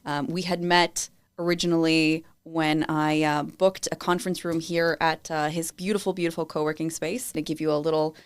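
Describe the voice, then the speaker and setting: Woman, low voice, podcast host, enthusiastic, upbeat. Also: little pitch movement, moderate pace, few pauses, clean tone. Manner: enthusiastic, upbeat, warm introductions. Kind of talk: in-person podcast interview. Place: co-working space conference room.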